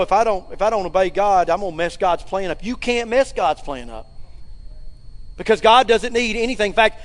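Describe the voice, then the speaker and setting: A man preaching a sermon in a church, with emphatic swells and asides to the congregation. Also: high-pitched. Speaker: pastor. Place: church sanctuary. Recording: hall reverb.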